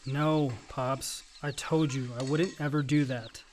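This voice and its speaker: An actor playing a dutiful but resentful son, matter-of-fact and curt, in a naturalistic radio play. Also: male voice, mid-range voice, fairly even intonation, a little slow, noisy background, natural voice.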